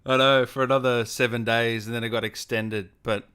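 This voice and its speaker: A man's voice: mid-range voice, little pitch movement, a little fast, pushed and bright, clean tone. A man with an Australian accent, chatting in an easygoing, reassuring way as an interview host. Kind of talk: casual friendly interview chat.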